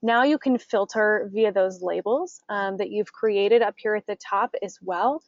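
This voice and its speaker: A woman's voice: mid-range voice, unhurried, dry recording, lo-fi sound. An American woman hosting a webinar, guiding a demonstration and prompting her guest with encouraging questions. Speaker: webinar host. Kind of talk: interview and guided software demonstration.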